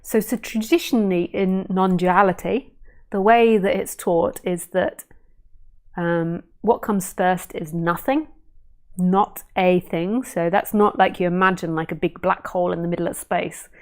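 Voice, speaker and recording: Soft-spoken woman teacher musing slowly. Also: fairly low pitch, fairly even intonation. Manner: gentle, unhurried, musing with fillers and restarts. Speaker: spiritual teacher. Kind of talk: casual live stream talk answering viewer questions.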